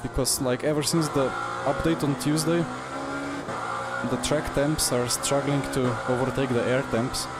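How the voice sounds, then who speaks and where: A man's voice: medium pitch, steady tone, unhurried, loud music behind the voice. A man streaming a racing game, thinking aloud and chatting to viewers with dry, wry remarks. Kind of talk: live commentary while racing. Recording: loud race car noise behind. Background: loud racing car engine sounds.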